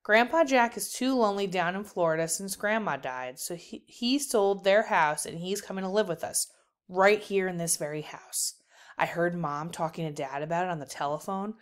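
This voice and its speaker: A woman's voice: fairly low pitch, moderate pace, close to an everyday voice. A woman voicing a boastful, nagging little girl in a storybook, insistent and teasing. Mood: earnest, scolding.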